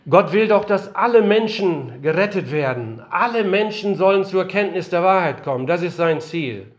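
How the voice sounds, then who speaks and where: An older man delivers an earnest talk in a lecture-style sermon, his voice a little worn because he is unwell. He speaks in a fairly high register and leans on rhetorical questions. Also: expressive, normal speed. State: slightly unwell, voice strained. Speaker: missionary speaker. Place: conference room.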